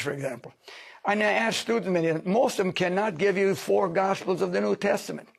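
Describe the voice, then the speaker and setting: A man's voice: on the high side, creaky. A man being interviewed as a sociologist, explaining at length in an emphatic, lecturing way. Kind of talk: studio interview.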